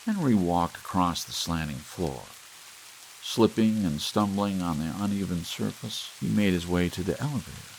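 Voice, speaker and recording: Deep gravelly man reading softly. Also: slight background noise, rough recording. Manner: soft, hushed, measured reading. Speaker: audiobook narrator. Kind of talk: slow ASMR story reading. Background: soft rain.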